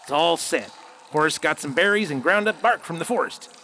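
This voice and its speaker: An actor playing a suspicious, curt outlaw gang boss in a western radio drama. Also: male voice, fairly high pitch, expressive, normal speed, sharp tone, slight background noise. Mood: businesslike. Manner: curt, commanding.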